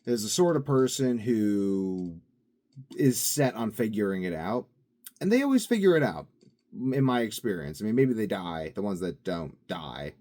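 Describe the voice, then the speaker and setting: A man's voice: fairly low pitch, big pitch swings, moderate pace, warm tone. A young man in a casual daily video diary, rambling and upbeat with lots of fillers and restarts. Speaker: vlogger.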